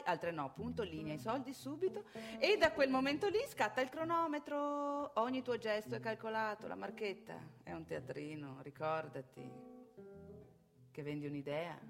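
An actress playing a seasoned street prostitute who coaches a newcomer in an emphatic, blunt theatrical radio monologue. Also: female voice, fairly high pitch, creaky, exaggerated voice. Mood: brisk, punchy. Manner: instructing, emphatic, blunt, second-person advice.

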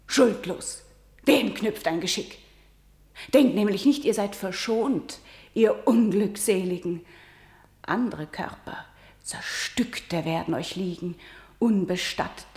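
A woman playing a condemned, defiant young woman lamenting in solemn, measured tragic verse for a German radio play. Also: mid-range voice. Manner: solemn, measured, dropping from ringing lament to whisper. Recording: echoey, roomy studio sound.

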